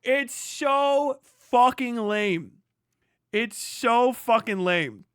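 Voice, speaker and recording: A man's voice: very high-pitched, expressive, drawn-out words, a bit bright, clear, resonant tone, dry recording, clean studio sound. A man hosting a livestream, giving sarcastic, exasperated and profane mocking commentary. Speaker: livestream host.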